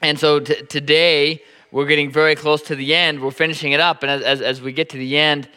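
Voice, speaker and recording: A man in his thirties with a Nebraskan accent, preaching a sermon in a halting, informal way that repeats words often. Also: fairly high pitch, flat delivery, bright, forceful tone, smooth, in a large hall. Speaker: pastor.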